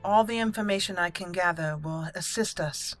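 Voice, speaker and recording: A woman hosting a game playthrough, roleplaying aloud with theatrical, deliberate phrasing. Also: fairly low pitch, faint music behind. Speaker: gaming channel host.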